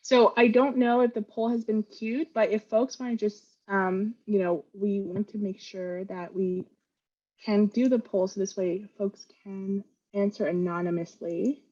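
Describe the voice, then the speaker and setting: A woman's voice: mid-range voice, fairly even intonation, measured pace, a little mellow, lo-fi sound. Earnest woman presenting a training webinar. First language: Vietnamese. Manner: earnest, talks with frequent fillers and restarts.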